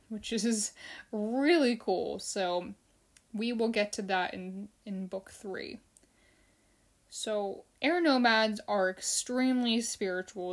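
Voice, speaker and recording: Young woman host, casual chatty delivery, everyday mid voice. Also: mid-range voice. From Philippines. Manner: chatty, loose, many fillers and restarts. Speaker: podcast host. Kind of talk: casual solo podcast chat with Q and A.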